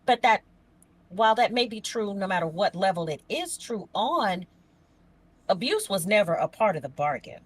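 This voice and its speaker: A woman's voice: medium pitch, sing-song, moderate pace, slightly rough. A woman chatting casually as a podcast co-host and emergency dispatcher, with a folksy, emphatic manner that repeats phrases for effect.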